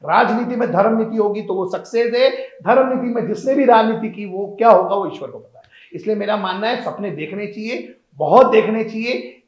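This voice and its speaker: A man's voice: high-pitched, reverberant, lo-fi sound. A man giving emphatic, declamatory interview answers as a political hopeful. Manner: emphatic, declamatory, repeats phrases. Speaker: political aspirant guest.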